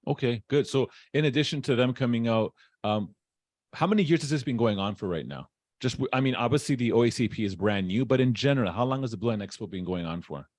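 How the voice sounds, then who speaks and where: A man's voice: mid-range voice, expressive, quick pace, a little mellow, no echo. A man hosting an interview show in an effusive way, repeating words for emphasis. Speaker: podcast host.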